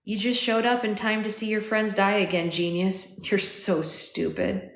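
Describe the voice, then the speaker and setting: A woman's voice: mid-range voice, little pitch movement, unhurried, close to an everyday voice. A woman voicing a distraught young storybook hero with urgent, emotional delivery. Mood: bitter. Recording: echoey, roomy sound.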